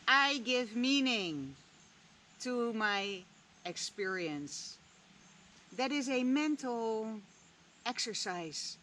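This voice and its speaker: A woman whose native language is Dutch, reflecting slowly and candidly on a spiritual lesson, with long pauses and the odd casual swear word. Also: on the high side, animated, sharp tone, slight background noise. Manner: slow, deliberate, musing with long pauses. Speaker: spiritual vlogger. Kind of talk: reflective personal talk.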